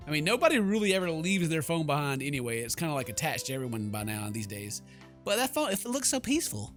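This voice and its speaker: A man's voice: on the high side, sing-song, fast, a bit bright, soft background music. A man giving casual, sarcastic, joking commentary as he plays a game. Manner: playful, sarcastic, exclamatory. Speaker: gaming YouTuber, let's player.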